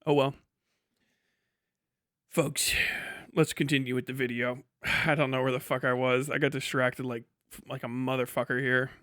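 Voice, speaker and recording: A man's voice: medium pitch, little pitch movement, normal speed, long pauses, fairly bright tone, no echo, studio quality. A man hosting a livestream in casual, rambling, sweary chat. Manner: profane, rambling. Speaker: livestream host.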